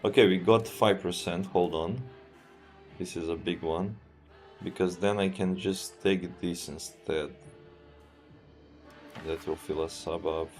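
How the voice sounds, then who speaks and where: Deep-voiced Serbian-accented man streaming a game. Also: slow pace, long pauses, soft background music. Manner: relaxed, thinking aloud, often trails off and restarts. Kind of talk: live gameplay commentary.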